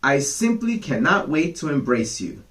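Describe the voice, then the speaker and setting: A man's voice: a little high, very animated, moderate pace, fairly bright tone, voice pushed up. A man voicing a crafty male fox who gushes with false friendliness in a bedtime storybook reading. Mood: gushing. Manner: gushing, eager, coaxing.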